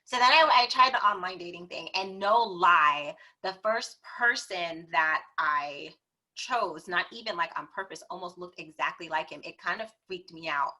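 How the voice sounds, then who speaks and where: Bright-voiced woman telling her story. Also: lowish voice, normal speed, poor audio. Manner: expressive, chatty, dramatic retellings of her own reactions. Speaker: podcast guest. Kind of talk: casual personal storytelling.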